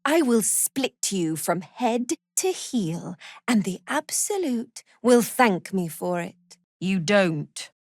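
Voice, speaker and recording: A woman playing a cruel, zealous cult prison warden in a fantasy video game, haughty and sardonic, with measured, threatening delivery. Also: mid-range voice, big pitch swings, no echo, studio quality, heavily acted. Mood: menacing. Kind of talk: video game character voice acting.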